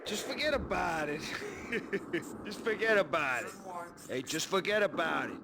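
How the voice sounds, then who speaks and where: An actor playing a callous, gossipy mobster in a video game, chattering casually like a street tough. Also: male voice, high-pitched, expressive, measured pace, creaky, noisy background, heavily acted. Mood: callous, amused. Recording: music behind the voice.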